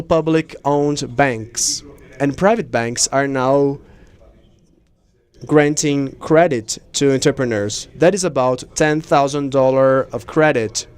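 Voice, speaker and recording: Male simultaneous interpreter, smooth clear voice, medium pitch, measured phrases with pauses. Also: darkish tone. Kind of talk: live interpreting of conference speeches.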